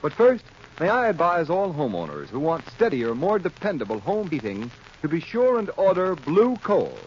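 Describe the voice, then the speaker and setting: A muffled vintage broadcast of an American man announcing. His voice is slightly high and very lively, delivering scripted copy with formal emphasis in thirties radio style. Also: moderate pace. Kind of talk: 1930s radio announcing, scripted advertising and narration. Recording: muffled, noisy 1930s radio recording.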